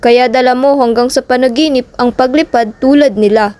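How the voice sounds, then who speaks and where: A woman reading the lines of a gentle, caring father who reassures his sick son. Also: very high voice, fairly even intonation, moderate pace.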